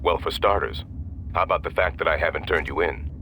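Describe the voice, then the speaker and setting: A shrewd insider guiding someone through danger in an action game. His voice is very low, brisk and wry, with clear noise behind it. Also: pushed and bright, heavily acted. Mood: assured, wry. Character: Doyle, shrewd urgent male insider guiding by radio. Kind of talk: video game cutscene acting.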